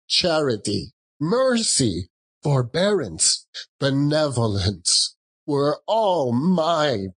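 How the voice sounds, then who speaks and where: A man voicing a mournful old male ghost in a storybook reading, wailing solemn, drawn-out warnings. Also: mid-range voice, a bit bright, slightly rough, voice pushed up, full character voice. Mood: anguished regret. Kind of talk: dramatic audiobook reading.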